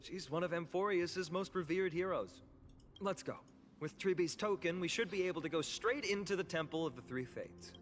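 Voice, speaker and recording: A man's voice: sits high, normal speed, lightly acted. An actor playing an earnest, determined young male hero in a fantasy video game, speaking articulately and theatrically. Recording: long reverb, faint background noise.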